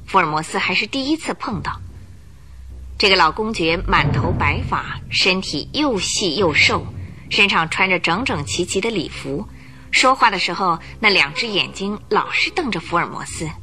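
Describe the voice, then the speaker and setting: Lively woman narrating a radio drama. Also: fairly low pitch, moderate pace, a little hiss or hum. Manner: lively storytelling, rising for suspense.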